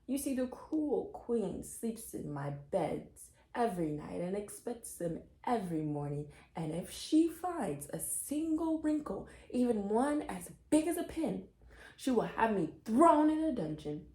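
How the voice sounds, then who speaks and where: A playful, kindly storybook woman, voiced by a woman reader. She confides her tall tales with dramatic emphasis. The tone is mellow and the room echoes. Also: medium pitch, sing-song, measured pace, voice pushed up. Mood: confiding, dramatic.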